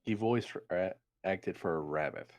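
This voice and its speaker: A man's voice: sits low, normal speed, creaky, darkish tone. A man co-hosting a live podcast, speaking casually as he fills in details. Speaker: podcast co-host.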